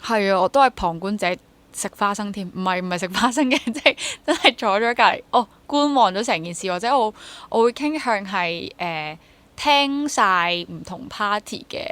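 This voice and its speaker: A woman's voice: mid-range voice, lively intonation, moderate pace, a bit bright. Young Hong Kong woman, thinking aloud. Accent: Hong Kong Cantonese. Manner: thinks aloud, rephrasing as she goes. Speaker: interview guest, freelance actor and drama teacher. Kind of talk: casual reflective interview talk with English words mixed in.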